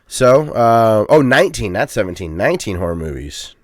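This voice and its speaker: A man's voice: fairly low pitch, sing-song, moderate pace, no room sound, studio quality. A man co-hosting a casual gaming podcast, rambling and chatty with plenty of ums and uhs. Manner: self-deprecating, chatty. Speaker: podcast co-host.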